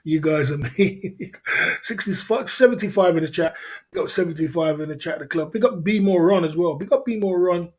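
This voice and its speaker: A man's voice: on the high side, a little fast, darkish tone. A man, casually hosting a livestream, rambling and laughing often as he answers viewers. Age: 50s. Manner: rambling, laughs often, repeats filler phrases. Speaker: livestream host. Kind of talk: casual livestream chat reading viewer comments.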